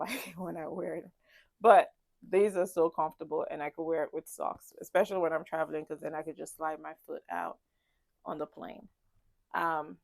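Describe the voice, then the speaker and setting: A woman sounding stuffy and congested, talking casually to camera in a fairly low voice with a gravelly edge. She rambles, with frequent ums and self-corrections. The sound is close and dry. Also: no echo. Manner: chatty, rambling, many ums and restarts. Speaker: travel vlogger. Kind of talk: casual packing chat to camera. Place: ship cabin.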